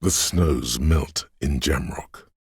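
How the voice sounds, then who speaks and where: A man voicing a brooding inner voice that senses a rainy city, narrating a video game in a slow, solemn, poetic and deliberate way with a gravelly, husky tone. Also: rumbling low, a little mellow, dry recording, studio quality, exaggerated voice. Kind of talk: scripted atmospheric second-person narration.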